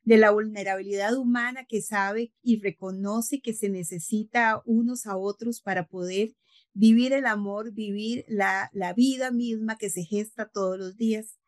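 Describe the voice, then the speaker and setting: A woman's voice: medium pitch, fairly even intonation, a little slow, no room sound. A middle-aged woman with a Costa Rican accent hosting an interview over a video call, effusive and formal. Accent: Costa Rican Spanish. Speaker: host.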